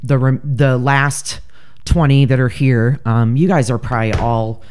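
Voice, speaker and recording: Woman podcast co-host, deep mellow voice, blunt and swears freely. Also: steady tone, unhurried, a little hiss or hum. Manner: blunt, profane, joking. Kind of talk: casual true-crime chat.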